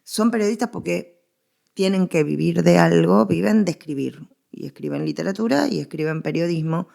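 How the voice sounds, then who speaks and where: A woman's voice: lowish voice, normal speed, creaky, warm tone. A woman with an Argentine accent explaining things as an interviewed expert, emphatic and given to repetition. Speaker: interviewed history and literature expert.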